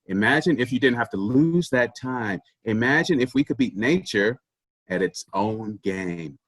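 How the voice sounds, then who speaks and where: A man's voice: medium pitch, animated, a little slow, dry recording. Guy playing a pushy self-important boss, swoopy emphatic delivery, really dry recording, play reading. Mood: conspiratorial, excited. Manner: pompous, preachy, emphatic. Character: pushy, self-important male office boss obsessed with efficiency. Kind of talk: staged reading of a stage comedy.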